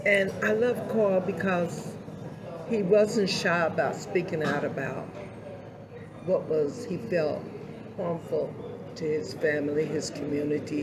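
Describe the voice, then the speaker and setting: An older woman with a hoarse voice, speaking earnestly and reflectively as a guest in a conversational interview. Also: low voice, poor audio. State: hoarse voice after illness. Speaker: podcast guest, community leader.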